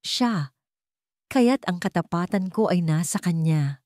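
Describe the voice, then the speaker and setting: A woman voicing an elderly housekeeper in a story, calmly menacing and bitter. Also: fairly low pitch, slow pace, dry recording, studio quality. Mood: bitter defiant. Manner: calm, cold delivery.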